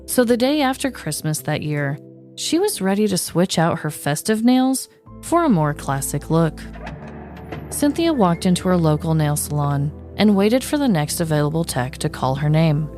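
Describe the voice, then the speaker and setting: A woman's voice: low-pitched, big pitch swings, moderate pace, darkish tone, faint music behind. A woman co-hosting a comedy podcast, moving between casual chat and scripted storytelling. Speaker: podcast co-host.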